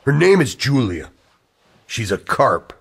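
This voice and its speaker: Actor as raspy, animated, insistent male zealot. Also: mid-range voice, takes it slowly, exaggerated voice. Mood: grave, insistent. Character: agitated, earnest male conspiracy believer holding a captive. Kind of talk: scripted radio-play acting.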